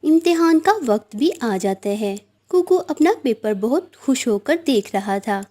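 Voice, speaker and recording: High, soft-toned woman storyteller. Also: expressive, a little slow. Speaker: narrator. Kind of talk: scripted children's story narration.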